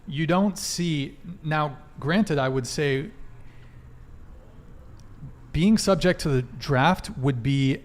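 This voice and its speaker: A man's voice: fairly high pitch, slow pace, pauses often. A young man hosting a live-streamed panel chat, wired and rambling, swearing often as he reads out donations. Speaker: host.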